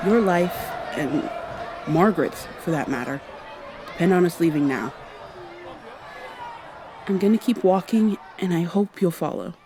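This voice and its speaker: Woman doing a chatty time traveler for asmr roleplay, wry n rambly, bit hurried, outdoor ambience behind. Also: on the low side, lively intonation, a crowd in the background, background noise. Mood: urgent, earnest. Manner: rambling, hesitant, thinking out loud mid-sentence. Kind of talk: ASMR roleplay, acted one-sided dialogue to the listener.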